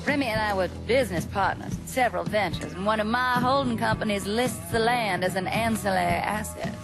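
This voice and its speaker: An actress playing a composed, calculating upper-class schemer in a video game, measured and formal. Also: female voice, medium pitch, music in the background, close to an everyday voice, low-quality recording. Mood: calm calculation.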